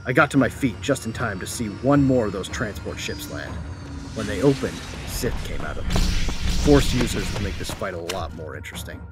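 A man's voice: fairly low pitch, expressive, normal speed, natural voice. A man playing a cocky, battle-loving soldier who narrates a space battle in colloquial, irreverent first person. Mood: cocky, excited. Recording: music behind the voice.

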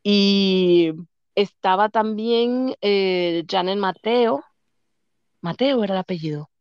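A woman's voice: medium pitch, slow pace, a little mellow, clean tone, dry recording, dull audio. A middle-aged Dominican woman being interviewed, casually recalling her past in a rambling way, repeating names and laughing often. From Dominican Republic. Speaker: guest.

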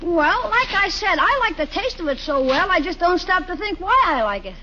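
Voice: extremely high pitch, very animated, normal speed, bright, forceful tone, full character voice. A performer playing an offhand, cheeky young boy in a 1940s radio comedy, plain-spoken. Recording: muffled 1940s radio recording, faint noise.

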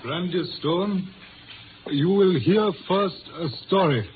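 A muffled, lightly noisy old radio recording carries a proud older Chinese patriarch. His voice sits fairly high for a man. He speaks with stately, formal gravity, every phrase measured. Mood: grave, ceremonious. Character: proud, solemn older Chinese father and community leader. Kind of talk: 1950s radio drama acting.

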